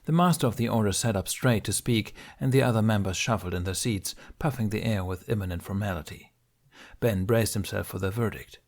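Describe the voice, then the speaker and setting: A male audiobook narrator reads dramatic fiction. His voice sits a little low, and his delivery is measured and solemn. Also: gravelly, darkish tone.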